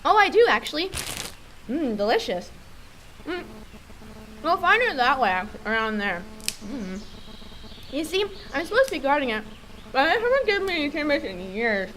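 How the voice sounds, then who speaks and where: Woman as a high-voiced, over-polite guard beaver. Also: big pitch swings, normal speed, pauses often, fairly bright tone, slight background noise, low-quality recording. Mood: greedy, pleased. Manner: apologetic. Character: fierce but over-polite, sweet-toothed guard beaver. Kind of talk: comic radio play acting.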